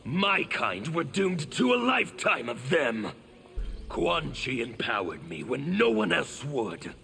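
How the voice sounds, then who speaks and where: An actor voicing a bitter, proud rebel man in a video game, with a raspy, gravelly voice. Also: fairly high pitch, wildly swooping pitch, slow, sharp tone, soft background music, heavily acted. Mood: defiant.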